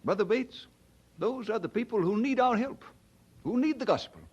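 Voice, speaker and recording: Young male minister: high husky voice, humble, earnest, expressive swings, radio drama. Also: moderate pace, dull audio. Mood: earnest, compassionate.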